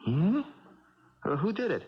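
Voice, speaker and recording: A man's voice: high voice, sing-song, a little slow, breathy edge, heavily acted. A man playing a confident, eager young American boxer with casual, colloquial speech in a 1970s radio drama. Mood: curious. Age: young adult. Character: Bobby Barlow, cocky young boxer, eager and plainspoken. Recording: dull 1970s radio broadcast.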